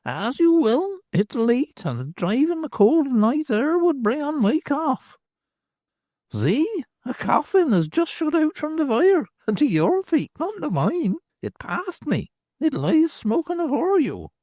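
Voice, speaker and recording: A reader voicing an old crone tavern hostess who gloats and gives ominous superstitious warnings in a shrill, cackling voice. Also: female voice, big pitch swings, unhurried, dark tone, dry recording, clean studio sound. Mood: gloating. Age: older. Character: old crone innkeeper, sly and grimly fatalistic.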